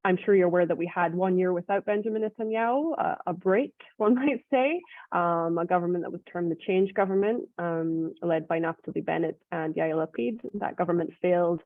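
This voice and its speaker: A woman's voice: on the low side, a little fast, dry recording. A woman with a mixed Scottish and Israeli accent, giving an explanatory webinar talk with many filler ums. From Scotland. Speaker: guest speaker, communications director. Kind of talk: webinar presentation. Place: home or office in Israel. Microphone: video call. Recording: dry, tinny phone-like line.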